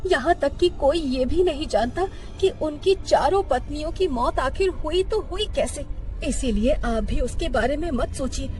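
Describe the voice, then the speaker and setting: एक महिला के अभिनय में ऊँची आवाज़ वाली घबराई नौकरानी. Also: female voice, sits high, expressive, normal speed, a little mellow. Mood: hushed warning. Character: anxious, secretive housemaid afraid of her master. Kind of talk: dramatised horror story dialogue.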